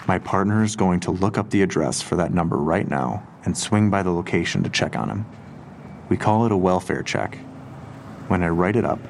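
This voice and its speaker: A deep-voiced police detective, deadpan and patronizing, in an audio drama. He speaks deliberately, with background noise around him. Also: creaky. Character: condescending, unflappable male police detective.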